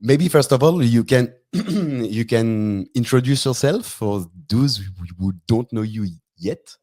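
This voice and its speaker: A man hosting a podcast interview, speaking English with a French accent and often pausing to find his words. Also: mid-range voice, expressive, darkish tone, dry recording, studio quality. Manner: hesitant, searching for English words. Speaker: podcast host. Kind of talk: interview intro and questions.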